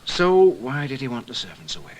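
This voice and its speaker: An actor playing a deferential security investigator in a radio drama, giving polite, factual reports. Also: male voice, mid-range voice, big pitch swings, a little fast, slight background noise, lightly acted. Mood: informative, dry. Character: deferential, matter-of-fact male British security investigator. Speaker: subordinate colleague reporting.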